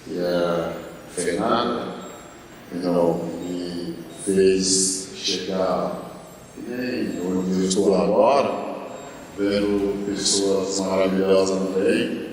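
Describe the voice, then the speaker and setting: A Nigerian-accented man in his forties addresses a seminar from some distance in a very echoey hall. He speaks extremely slowly and haltingly, often searching for the next word and slipping in English fillers. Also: low-pitched, steady tone, darkish tone, slight background noise. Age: 40s. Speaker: guest speaker, student. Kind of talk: informal speech to a seminar audience. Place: large indoor seminar room with reverb.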